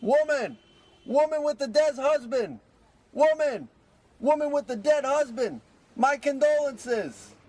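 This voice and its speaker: Man playing a high-voiced, cranky reformed old miser. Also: slow, darkish tone, no echo. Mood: shouting, insincere. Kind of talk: parody of old radio drama.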